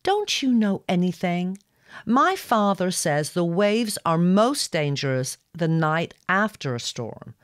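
A woman's voice: fairly low pitch, very animated, takes it slowly, smooth, no echo, clean studio sound, pitched above the performer's own voice. A woman voicing a proud, bossy young gargoyle girl with an imperious manner in an audio story. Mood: scornful, imperious. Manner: imperious, scoffing.

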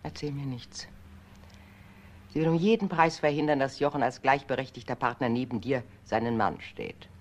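A woman's voice: extremely low pitch, expressive, normal speed, heavily acted. An actress playing a stern, bitter older mother in a German crime radio drama, speaking in a commanding, cutting way. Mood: cold, bitter. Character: stern, bitter elderly mother of a business family.

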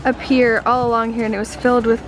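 A woman's voice: a little high, flat delivery, fast. A teenage girl chatting to a handheld camera in a casual, upbeat vlog. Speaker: vlogger. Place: car, outdoors and condo bedroom. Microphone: handheld camera at arm's length.

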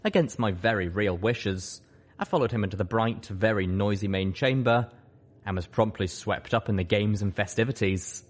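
A man's voice: on the low side, moderate pace. A man reading a scripted first-person story aloud as an audiobook narrator. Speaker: audiobook reader.

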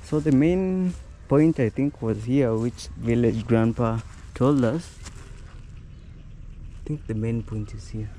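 Relaxed Kenyan man vlogging outdoors. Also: slow pace, pauses often. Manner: relaxed, low-key, addressing viewers as "guys". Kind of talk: casual vlog commentary. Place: outdoors in a rural yard. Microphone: small clip-on mic. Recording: outdoor noise behind the voice.

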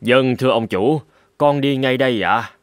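A man's voice: mid-range voice, moderate pace, close to an everyday voice. A man voicing a humble, deferential old servant in a cartoon, speaking politely and respectfully. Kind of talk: dubbed cartoon storytelling. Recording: loud music under the voice.

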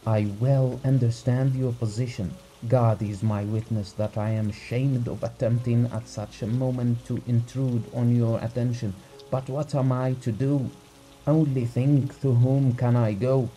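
A man reading aloud and voicing a frantic middle-aged gentleman who pleads breathlessly for help. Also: mid-range voice, flat delivery, unhurried, soft background music, lightly acted, rough recording. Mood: distraught.

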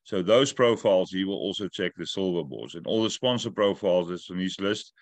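A middle-aged man, not a native English speaker, talks through a video call from home in a fairly low, dry-sounding voice. He jokes with the group and then explains the steps at length. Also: fairly quick, dry recording. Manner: talkative, joking, instructive. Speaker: host of a weekly update call. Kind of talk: casual video call chat. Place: room at home.

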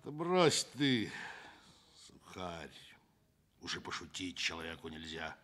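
A coarse teasing working man in his forties, radio drama, lowish voice. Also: very animated, slow, a bit bright, full character voice. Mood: boisterous, teasing. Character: jovial, bawdy forty-year-old train engineer recovering in hospital. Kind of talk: Soviet radio play acting.

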